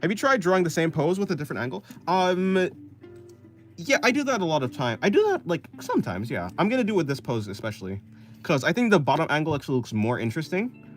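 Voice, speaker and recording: A man's voice: fairly high pitch, very animated, fast talker, soft background music. A man in his twenties chatting casually on a livestream while drawing, thinking aloud and answering chat in loose, restarting sentences. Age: 20s. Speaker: streamer.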